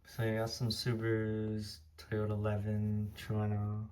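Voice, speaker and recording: A man's voice: on the low side, monotone, unhurried, a little mellow. A young man from Taiwan, a native Hokkien speaker, casually rambling as he tours his diecast collection room, heavy on fillers and restarts. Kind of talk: casual room tour vlog.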